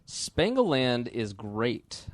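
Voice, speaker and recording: A man's voice: mid-range voice, sing-song, very slow. A man hosting a podcast in casual conversation, with a teasing, joking way of talking. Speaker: podcast host.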